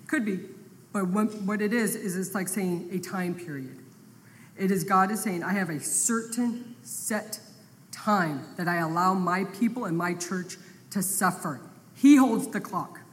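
Lady preaching to women, gets all pumped up and loud, kinda low voice, long echo. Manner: emphatic, builds to forceful peaks. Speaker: women's Bible study teacher. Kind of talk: sermon. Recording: long reverb, faint background noise.

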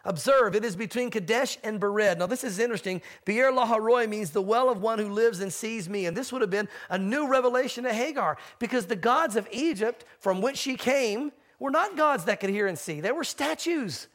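A man's voice: high voice, animated, moderate pace, hardly any pauses, a bit bright. A man preaching a sermon as pastor, stressing his points by repeating phrases.

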